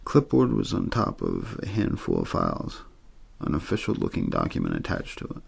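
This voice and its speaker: A man reads a horror novel as a bedtime ASMR story. His voice is very low and heavily creaky, with a husky rasp underneath. The delivery is understated and untheatrical, though his pitch moves around noticeably as phrases fall away. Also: huge pitch swings, moderate pace. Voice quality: gravelly, husky. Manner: low-key, untheatrical narration. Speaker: audiobook-style story reader.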